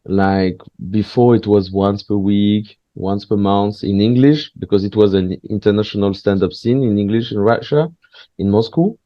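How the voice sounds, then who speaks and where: A man's voice: lowish voice, no room sound, muffled recording. Hesitant man. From France. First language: French. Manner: hesitant, frequent pauses and restarts, candid. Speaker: guest, stand-up comedian. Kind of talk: casual interview chat.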